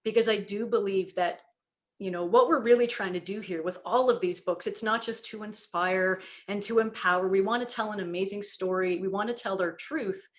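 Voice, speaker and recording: A woman speaking earnestly on a panel discussion about children's books, reflective and steady, with frequent "you know" fillers. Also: medium pitch, normal speed. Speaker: children's book creator on a panel.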